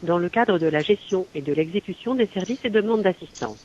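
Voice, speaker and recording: High-voiced male announcer, dull phone sound. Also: expressive, brisk, a little mellow, no echo. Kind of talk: scripted legal notice on a phone menu. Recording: dull, hissy phone line.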